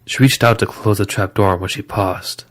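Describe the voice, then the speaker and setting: A man's voice: lowish voice, moderate pace, a bit bright. A man reading scripted story narration as the narrator of an audio drama.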